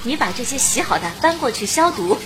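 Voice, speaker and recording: An actress playing a warm, dutiful middle-aged canteen worker and mother in a radio drama, chatty and earnest. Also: on the high side, very animated, brisk, heavy background noise. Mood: friendly, busy. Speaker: narrator and lead character. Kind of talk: radio drama acting with first-person narration.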